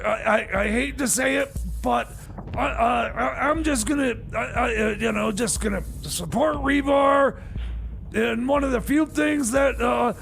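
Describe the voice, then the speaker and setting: A man acting a hapless stand-in radio DJ with a very high, creaky voice. He stammers slowly and unsurely through his rambling comedy patter, always sounding flustered. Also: a bit bright, a little hiss or hum, exaggerated voice. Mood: hesitant, resigned. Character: flustered hapless male time machine mechanic stand-in DJ. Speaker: radio show DJ.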